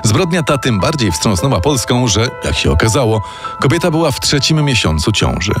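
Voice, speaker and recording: A man reading a scripted true-crime story in a measured, dramatic storytelling manner. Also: medium pitch, big pitch swings. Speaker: narrator. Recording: music playing underneath.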